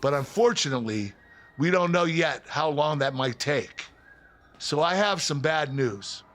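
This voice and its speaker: A man's voice: on the high side, lively intonation, unhurried, fairly bright tone. A man playing a considerate, businesslike wrestling show manager in a video game cutscene. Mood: sympathetic, serious.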